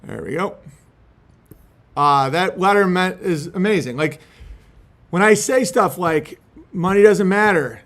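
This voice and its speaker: A man chatting casually in slangy, sweary language as a host, partly reading a letter aloud. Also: sits high. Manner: laid-back slangy talk with frequent swearing.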